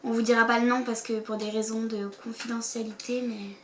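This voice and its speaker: A woman's voice: on the high side, level tone, a little fast. A teenage girl from France co-hosting a casual chat, talking with many fillers. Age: teens. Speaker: co-host. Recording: echoey room with faint noise.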